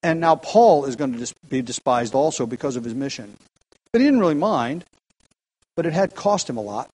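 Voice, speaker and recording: A man's voice: mid-range voice, animated, fairly quick, darkish tone, low-quality recording. A man preaching an expository sermon, earnest and emphatic, often restarting phrases.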